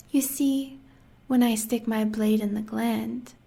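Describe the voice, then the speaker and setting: Woman acting villainous huntress, American, high soft mellow voice, sadistic, seductive, taunting, audio roleplay. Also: exaggerated voice. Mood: gloating. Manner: taunting, sultry, breathy half-whispers with cruel pet names.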